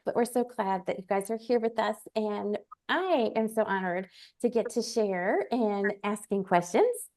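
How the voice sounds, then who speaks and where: A woman's voice: medium pitch, lively intonation, unhurried, a little mellow. A woman interviewing on a video call, bubbly and gushing, laughing often. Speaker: emcee and interviewer. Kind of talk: casual interview.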